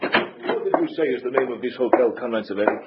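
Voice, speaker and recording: An actor playing a haughty, secretive party courier in a 1950s radio drama, speaking formally and imperiously with a deliberate delivery. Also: male voice, medium pitch, natural voice. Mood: formal, commanding. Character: haughty, secretive male Eastern European courier and veteran agent. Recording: muffled, hissy vintage radio recording.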